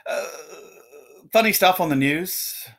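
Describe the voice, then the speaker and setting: A stuffy middle-aged storybook husband, acted out by a male reader, very unsure of himself. He mumbles and hesitates, with a slightly raised, wavering pitch. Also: animated, takes it slowly, a bit bright, full character voice. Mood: nervous.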